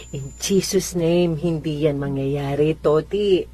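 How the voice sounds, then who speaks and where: A woman's voice: low voice, slow pace, mellow, poor audio. A woman playing a devout, stubborn elderly mother in a religious radio drama, speaking in a pious, reassuring way. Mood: gentle, reassuring.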